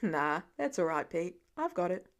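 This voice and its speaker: A woman voicing a gentle, wry, fatherly man in a story. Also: fairly low pitch, sing-song, moderate pace, voice pushed down. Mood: fatherly, easygoing.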